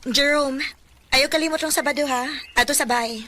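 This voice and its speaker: A possessive, breezy young best friend in a Bisaya radio drama. She has a slightly high voice and a Filipino Bisaya accent. The recording is noticeably noisy. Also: fairly quick, pushed and bright. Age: young adult.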